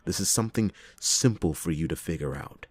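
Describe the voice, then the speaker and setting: A man playing a cynical, reluctant, hard-drinking private detective in a noir ASMR roleplay, with a husky, gravelly voice and sardonic, theatrical delivery. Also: sits low, expressive, no room sound. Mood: exasperated, sardonic. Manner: sardonic, theatrical, halting speech with drawn-out emphasis. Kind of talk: ASMR detective roleplay, acted monologue to listener.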